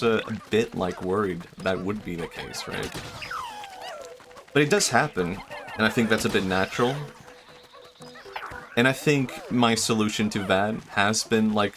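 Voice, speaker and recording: A man's voice: lowish voice, a bit bright. A young man streaming a game, chatting casually and thinking aloud, often trailing off and restarting his sentences. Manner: rambling and self-reflective, trails off, many fillers and restarts. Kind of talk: casual chat while gaming.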